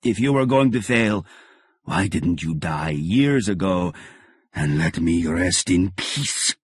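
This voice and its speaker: A man voicing the ghost of a dead swordsmith father, speaking slowly and heavily with bitter reproach. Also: low voice, animated, breathy edge, no room sound, clean studio sound, heavily acted. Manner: slow, heavy, reproachful.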